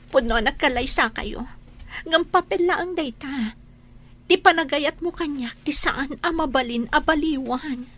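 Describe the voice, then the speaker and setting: High-voiced pleading mistress acted by a woman. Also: a little slow, a bit bright. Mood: insistent. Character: lovesick, pleading mistress clinging to a married man. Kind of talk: audio drama acting.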